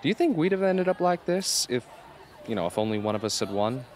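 A man playing an earnest, gently teasing teenage boy in an amateur radio drama. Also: mid-range voice, sing-song, a little fast, some chatter behind, close to an everyday voice.

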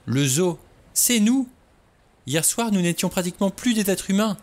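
A man reading an audiobook, voicing a frightened young woman who speaks in a high, strained, urgent way. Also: animated, moderate pace, lightly acted. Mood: frantic.

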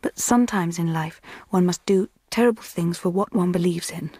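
Radio drama: devoted young woman, breathy, solemn confessional tone, dry acoustic. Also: on the low side, normal speed, dark tone, dry recording. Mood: earnest, confiding. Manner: confiding, solemn, partly whispered. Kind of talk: dramatic monologue.

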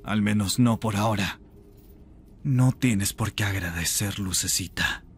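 A man voicing a chained rebel mage in an ASMR roleplay, intense, seductive and tender, with a breathy, gravelly voice. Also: fairly low pitch, measured pace, a little hiss or hum. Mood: warm, wry.

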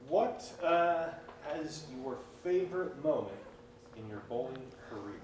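A man's voice: fairly high pitch, expressive, unhurried, a little mellow. A man asks short interview questions off camera in a mid-range voice. His voice carries a roomy echo, and a faint ambient hum sits underneath. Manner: brief, plain questions.

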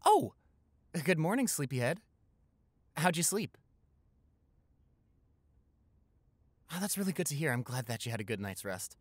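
A man's voice: a little high, very animated, fairly quick, no echo, voice pushed up. A young American man playing a playful, caring college-age boyfriend in improvised roleplay audio, teasing and reassuring with hesitant stammers. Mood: tender, cheerful. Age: young adult.

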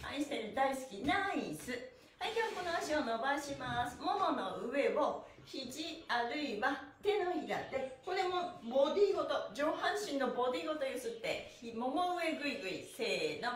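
Woman, middle-aged, exercise instructor, very lively intonation, cheerful sing-song chanting, distant mic in a small room. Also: high voice, normal speed. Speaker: stretching instructor. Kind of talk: upbeat exercise class with rhythmic counted chants. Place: small bare-walled room indoors, on a floor mat. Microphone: camera a few metres away. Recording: roomy echo, distant microphone.

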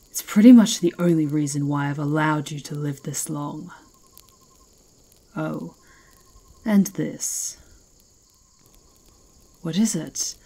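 A fierce werewolf chieftess, acted by a woman for an ASMR fantasy roleplay. Her voice is low and husky, and she talks slowly, with proud, mocking authority. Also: warm tone, heavily acted. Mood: skeptical, scornful, then appraising. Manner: slow, taunting, imperious.

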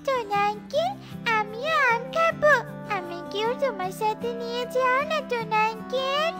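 An eager little bird child, high-pitched and coaxing. The speech is slow and sweetly pleading, with music behind it. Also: female voice, lively intonation, a bit bright, full character voice. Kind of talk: children's cartoon dubbing.

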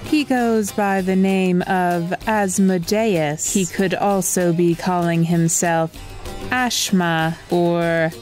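Woman as an eerie, measured spirit. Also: on the low side, fairly even intonation, darkish tone, soft background music. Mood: cool, insistent. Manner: terse, formal, detached. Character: eerie, unblinking, tall female spirit searching coolly. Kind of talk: audio drama acting.

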